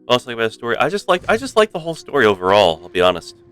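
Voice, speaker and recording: A man streaming a game, chatting casually and haltingly as he goes, with pauses to find his words. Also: mid-range voice, huge pitch swings, fairly bright tone, clean tone, soft background music.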